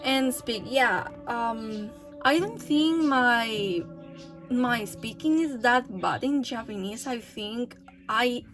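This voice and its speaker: Young woman vlogging, non-native english, slow n hesitant, lots of ums, a bit high, music under it. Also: rough recording. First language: not English. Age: young adult. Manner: hesitant, many fillers and restarts, soft laughs. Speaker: language-learning vlogger. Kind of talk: casual vlog talk.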